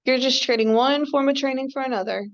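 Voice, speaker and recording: A woman's voice: sits high, brisk, dull audio. A woman playing a sardonic scheming baboon. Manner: dry, sardonic, dismissive. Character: clever scheming male baboon. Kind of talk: amateur radio drama table read.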